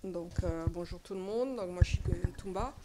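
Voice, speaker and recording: A woman journalist from Congo politely asking a question at a press conference, pausing often with hesitant fillers as she speaks into a standing microphone. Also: fairly low pitch, noisy background.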